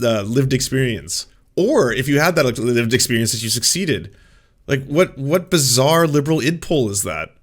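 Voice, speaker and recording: A man's voice: medium pitch, a bit bright. A man giving reaction commentary, talking bluntly and incredulously with plenty of swearing.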